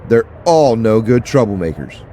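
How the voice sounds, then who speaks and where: An actor playing a blunt, impatient, dismissive sheriff's deputy in an audio drama, with a sardonic manner. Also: male voice, on the low side, very animated, normal speed, mellow. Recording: faint vehicle ambience noise.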